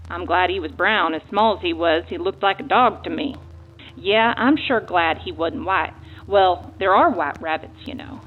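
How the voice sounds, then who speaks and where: A woman's voice: medium pitch, slight background noise, natural voice. A woman acting out a folksy Texan lady private eye on a monster hunt. She tells her own story earnestly, like a memoir read aloud for drama. The recording is slightly muffled and lo-fi. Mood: uneasy. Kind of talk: dramatic reading of a first-person memoir.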